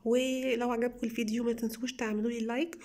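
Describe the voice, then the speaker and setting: A young woman with an Egyptian Arabic accent, slightly hoarse from a cold, chatting enthusiastically to viewers as she reviews beauty products at home. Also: a little high, level tone, rapid-fire, a little mellow. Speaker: beauty vlogger. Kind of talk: casual product haul review.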